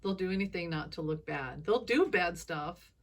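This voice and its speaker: A woman speaks alone into a phone, her voice scratchy from allergies and sitting a little low. She rambles from thought to thought in a loose, casual monologue, dropping chuckles along the way. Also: animated, normal speed. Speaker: psychic commentator.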